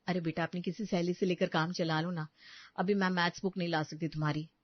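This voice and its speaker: A woman's voice: lowish voice, little pitch movement, brisk, no room sound, highs cut off, pitched below the performer's own voice, natural voice. Patient, burdened young housewife, voiced by a woman, dry sound. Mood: gentle, weary. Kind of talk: dramatised dialogue in an audio story.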